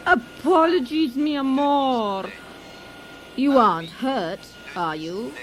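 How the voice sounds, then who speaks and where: A woman playing a breezy, sweetly careless handyman's wife in comic radio theater, blithely polite and deadpan. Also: high voice, slow pace. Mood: mildly apologetic. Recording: clearly noisy, effects behind.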